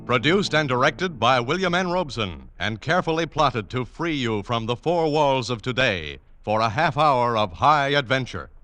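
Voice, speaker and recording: Punchy old radio announcer guy, bright voice, lively emphasis. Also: medium pitch, moderate pace, hardly any pauses, faint music behind. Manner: ringing, emphatic declaration. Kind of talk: formal show introduction.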